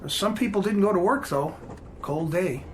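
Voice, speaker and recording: An American actor playing a folksy, matter-of-fact train conductor in a radio drama, plain-spoken and chatty. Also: male voice, high-pitched, moderate pace, a little hiss or hum, natural voice.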